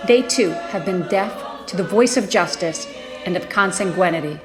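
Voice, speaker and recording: A woman's voice: lowish voice, moderate pace. A woman reading a historical document aloud as a community reader. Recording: music behind the voice.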